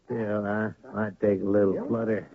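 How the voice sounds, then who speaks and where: A man's voice: on the low side, flat delivery, moderate pace, crowd noise, close to an everyday voice. A man playing a sly genial frontier townsman. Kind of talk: 1970s radio drama acting. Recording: narrow lo-fi old radio broadcast.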